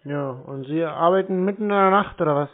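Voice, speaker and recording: A man chatting casually and deadpan on a prank call, contrary and teasing. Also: a little high, a little fast. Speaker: scambaiting prankster taking scam calls. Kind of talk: casual prank phone conversation. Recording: tinny narrow-band phone line.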